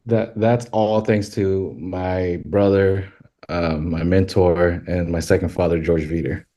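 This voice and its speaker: A deep-voiced man from Thailand talks as a podcast guest, his tone low and mellow. He speaks haltingly, full of fillers and restarts, in a relaxed interview. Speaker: podcast guest, western filmmaker.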